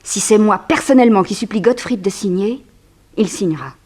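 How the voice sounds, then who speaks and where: A woman's voice: medium pitch, a little fast, a little mellow, breathy edge, lightly acted. An actress plays a wily captive woman in a 1960s French radio drama. She stays poised and dry. Her delivery is persuasive and cool under threat. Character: cool, wily captive female accomplice of a banker. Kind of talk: 1960s French radio crime serial acting.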